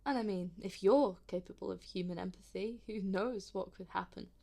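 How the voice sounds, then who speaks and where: A woman's voice: mid-range voice, normal speed, gravelly, dark tone. A woman playing a sarcastic, wary, lonely but plucky human in an audio drama, in a naturalistic, informal and emotive way. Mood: wry teasing.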